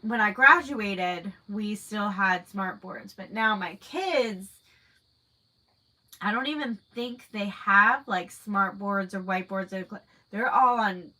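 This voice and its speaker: A woman's voice: mid-range voice, pushed and bright, rough recording. A woman in her thirties with a stuffy nose from a cold, chatting casually and rambling on a livestream as she answers viewers. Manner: chatty and rambling, many fillers. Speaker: livestream host. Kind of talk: casual live chat answering viewer comments.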